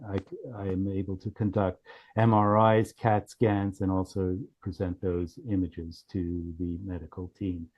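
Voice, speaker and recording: A man giving a casual software demonstration in a halting way, thinking aloud as he goes. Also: low-pitched, flat delivery, lo-fi sound. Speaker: company founder demonstrating software. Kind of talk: casual product demo.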